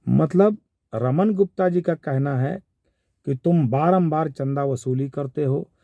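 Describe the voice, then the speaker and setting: A man with a Bihari accent giving informal spoken commentary in a measured, halting, explanatory way. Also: a little high, no echo, narrow, tinny audio. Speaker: commentator giving his analysis of a viral call.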